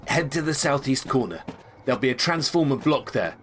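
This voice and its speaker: A man's voice: medium pitch, expressive, brisk, a bit bright, a little hiss or hum, close to an everyday voice. A man playing a serious, weary sci-fi agent who gives orders in an audio drama. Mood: commanding. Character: stern, weary male sci-fi agent, battle-worn.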